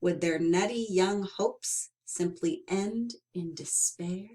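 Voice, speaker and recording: A woman reading a children's picture book aloud as an expressive storyteller, with dramatic emphasis and drawn-out words. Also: on the low side, a little hiss or hum.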